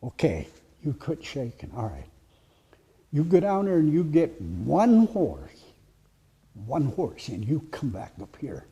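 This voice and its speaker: A man voicing a calm, seasoned older horse raider who gives firm, low, commanding instructions to a boy. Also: slow pace, slightly rough, full character voice.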